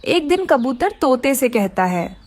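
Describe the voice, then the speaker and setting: A woman's voice: high voice, sing-song, unhurried, darkish tone. A woman narrating a scripted children's story.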